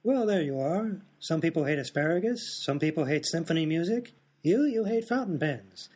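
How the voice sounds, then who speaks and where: A man's voice: on the high side, expressive, normal speed, darkish tone, husky. A man playing an absent-minded, oblivious hospital doctor who rambles matter-of-factly in an absurd radio comedy sketch. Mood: absent-minded, breezy. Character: daft, absent-minded male hospital doctor, cheerfully off-topic.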